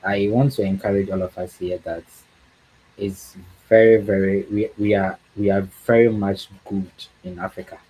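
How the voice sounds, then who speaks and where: A man's voice: on the low side, steady tone, moderate pace, warm tone, slightly rough, poor audio. A man from Ghana with a Ghanaian accent, speaking as a guest on a livestream talk, earnest and encouraging, often repeating words for emphasis.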